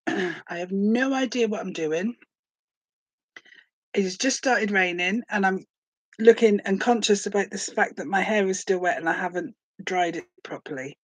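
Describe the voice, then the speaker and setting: A woman's voice: mid-range voice, dry recording, rough recording. Chatty woman in her late forties with a cold. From England, Hereford. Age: late 40s. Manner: rambling, self-deprecating, chatty. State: full of cold, sore throat. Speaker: vlogger. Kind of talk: casual chatty vlog.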